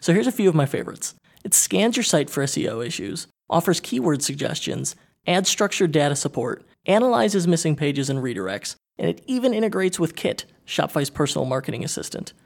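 Energetic podcast host guy, voice on the higher side, reads ads and chats. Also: a little fast, creaky. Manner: upbeat, energetic. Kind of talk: read ad spots and casual interview.

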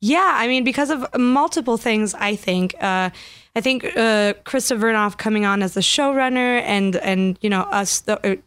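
A woman's voice: on the high side, normal speed, a bit bright, clean tone. A French-born woman with no accent, an actress, answering a radio host's questions in a friendly, modest way with frequent fillers. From France. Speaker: actress guest. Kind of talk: casual interview. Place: radio studio.

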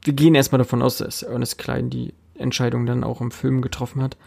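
A man's voice: mid-range voice, flat delivery, fairly quick, vocal fry, darkish tone. A man co-hosting a casual film discussion podcast, often checking for agreement with short tag questions. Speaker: podcast co-host.

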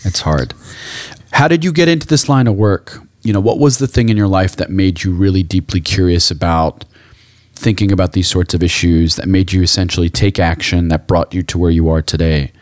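A man's voice: deep voice, a little fast, dry recording. A man hosting an interview in a reflective, conversational style, affirming and encouraging as he asks questions. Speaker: interviewer, podcast host.

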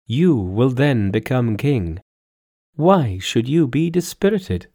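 A man's voice: mid-range voice, expressive, slow, dark tone. A man voicing a sorrowful, anxious queen mother who speaks tenderly and formally in a storybook tale. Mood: tender concern. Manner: formal, plaintive, pleading. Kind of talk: storybook dialogue in a narrated folk tale.

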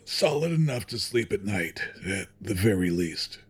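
An actor playing a sickly, wistful old corporate chairman with a frail husky voice and halting, reflective delivery in an audio drama. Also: on the low side, sing-song, exaggerated voice. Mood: reflective, regretful. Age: older. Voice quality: husky, frail, with throat clearing and coughs.

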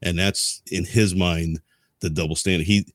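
A man with a notably low voice talks casually about history as a podcast co-host. His delivery is halting and rambling as he explains, and the sound is dry and close, with little room on it. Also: fairly even intonation, no room sound.

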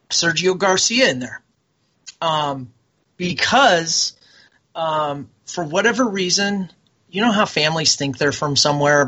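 A man's voice: mid-range voice, sharp tone, dull audio. A man chatting casually as a guest, rambling and enthusiastic. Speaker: guest, military veteran. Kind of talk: casual podcast chat.

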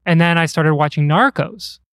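A man's voice: a little high, normal speed, fairly bright tone, dry recording, studio quality. A man hosting a podcast in a chatty, curious way, asking his guest questions. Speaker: podcast host.

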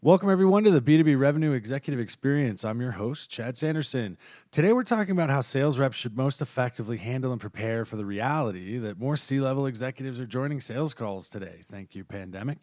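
A male podcast host with a mid-pitched voice, talking casually in an interview. He is chatty and tends to double back on his sentences. The sound is dry, with very little room in it. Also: animated, fast talker, a little mellow, no room sound. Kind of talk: conversational interview hosting.

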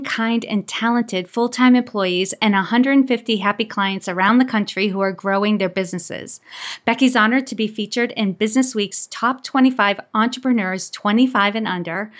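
A woman hosting an interview podcast, with an upbeat, enthusiastic manner. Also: fairly high pitch. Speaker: podcast host.

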